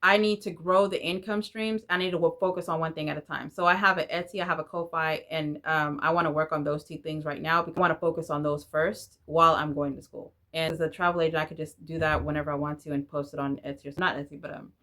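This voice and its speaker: A woman's voice: deep voice, fairly even intonation, fast talker, few pauses, sharp tone. A woman in her forties, a native Spanish speaker, chatting candidly to camera in a rambling vlog. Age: 40s. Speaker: vlogger. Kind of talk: casual talk to camera.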